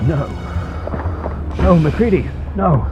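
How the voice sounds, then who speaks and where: A man's voice: fairly high pitch, extremely slow, lo-fi sound. A man playing an earnest, protective astronaut on a spacewalk in a science fiction game. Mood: alarmed. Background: music.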